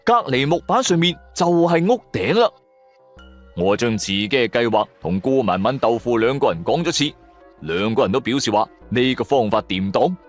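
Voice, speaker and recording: Cantonese guy reading a spooky adventure serial, really animated swingy pitch, dramatic, music behind him. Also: normal speed. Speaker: audiobook narrator. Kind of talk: dramatic first-person storytelling narration of a horror adventure serial.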